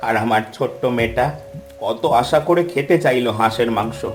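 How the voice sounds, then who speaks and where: A man's voice: mid-range voice, brisk, faint music behind, close to an everyday voice. An actor playing a poor, kind-hearted village father in a cartoon, earnest and musing aloud. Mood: wistful. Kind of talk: cartoon voice acting.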